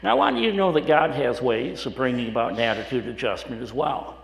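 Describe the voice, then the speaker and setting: Male pastor, middle-aged, raspy and hoarse, chatty halting sermon delivery, roomy echo. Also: mid-range voice. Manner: conversational with frequent "uh" restarts. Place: church sanctuary. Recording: echoey hall with long reverb.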